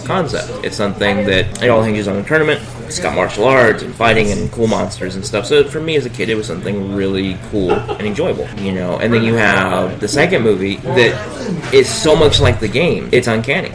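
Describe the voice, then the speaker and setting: Guy with a lowish voice just chatting, lots of you knows, music going in the background. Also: creaky. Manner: rambling, many fillers and restarts. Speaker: podcast co-host. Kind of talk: casual chat.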